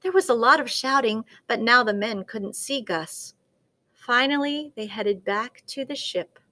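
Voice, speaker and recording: A woman reading a children's picture book aloud as a storyteller, with dramatic, deliberate expression. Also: on the high side, lively intonation, pushed and bright.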